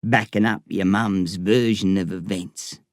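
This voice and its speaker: A man voicing a wry, plain-spoken old man in an audiobook, with a slow folksy drawl. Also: low-pitched, fairly even intonation, no echo, studio quality, voice pushed down. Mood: wry, steady. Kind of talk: audiobook character voice.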